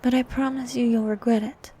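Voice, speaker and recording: A woman playing a bossy, haughty ancient sea queen monster in an ASMR roleplay, with a soft, partly whispered voice and regal, condescending manner. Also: a little high, fairly even intonation, breathy edge. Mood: teasing, scolding. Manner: regal, condescending, formal, unhurried.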